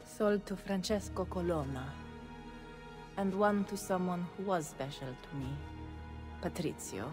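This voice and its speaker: An actress playing a bitter, seductive duchess with an Italian accent in a video game, haughty and theatrical. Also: female voice, fairly low pitch, measured pace, over music, natural voice. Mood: cool. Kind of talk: video game cutscene acting.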